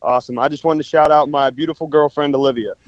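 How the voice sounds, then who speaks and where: A man's voice: on the high side, fairly even intonation, fast talker, no room sound, muffled recording. Easygoing male radio caller. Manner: short, easygoing answers. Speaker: radio caller and game contestant.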